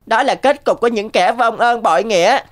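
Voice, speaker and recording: A woman's voice: on the high side, fairly quick, faint music behind, lightly acted. Woman as a scolding cartoon rabbit. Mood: stern, threatening. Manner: scolding, threatening. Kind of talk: cartoon dub.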